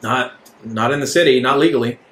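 A man as a halting, evasive secret guide. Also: mid-range voice, a bit bright, lightly acted. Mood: evasive, explaining. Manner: halting, explanatory. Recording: echoey room.

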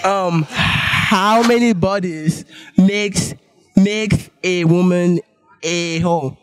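Slow, high-voiced young male street interviewer. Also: fairly even intonation, slight background noise. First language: French. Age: young adult. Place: outdoor sidewalk at night. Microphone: shared handheld microphone.

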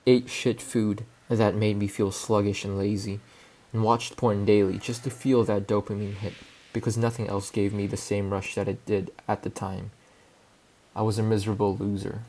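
Young guy from hong kong, cantonese is his first language, low flat voice, slow thoughtful voice-over. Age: young adult. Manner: calm, reflective, measured. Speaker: student filmmaker. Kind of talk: scripted personal voice-over narration.

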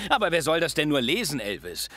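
A man's voice: a little high, huge pitch swings, moderate pace, a bit bright. A man voicing a modest, friendly firefighter hero in a children's cartoon, good-natured and self-effacing. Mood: modest, amused. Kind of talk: animated children's cartoon dubbing.

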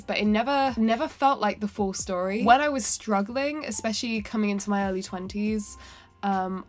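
A young woman with a cold talks into the camera in her bedroom, her voice nasal and stuffy. She rambles with lots of ums and self-corrections. Soft music plays faintly behind her. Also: medium pitch. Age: 20s. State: has a cold, sounds nasal. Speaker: singer-songwriter and vlogger. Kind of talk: casual talking-to-camera vlog. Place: bedroom indoors.

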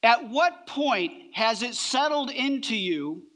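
A middle-aged man preaching a sermon on a church stage, emphatic, with deliberate pauses between short phrases. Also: very high-pitched, expressive, pushed and bright. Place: large church auditorium, on stage.

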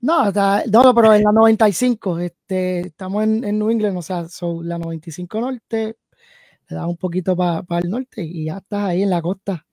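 Soft-voiced man chatting over a video call. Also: high voice, steady tone, moderate pace, dry recording, studio quality. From New Haven, Connecticut, USA. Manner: rambling, thinks aloud with many fillers. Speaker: guest musician and producer. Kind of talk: casual interview chat. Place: home music studio. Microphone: headset and video call.

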